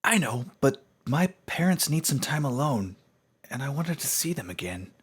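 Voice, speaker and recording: Man voicing a nervous young pony, pitched up. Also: very animated, moderate pace, slightly rough. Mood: pleading. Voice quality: raised, lighter voice. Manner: anxious, forceful, questioning. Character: young pony, nervous but bold, suspicious.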